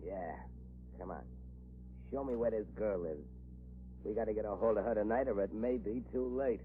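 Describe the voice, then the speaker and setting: An actor playing a ruthless, scheming crime boss in a clipped, tough 1940s radio style, on a tinny old recording. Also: male voice, fairly low pitch, moderate pace, soft background music, lightly acted. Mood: urgent, bossy. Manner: clipped, bossy, tough-talking gangster.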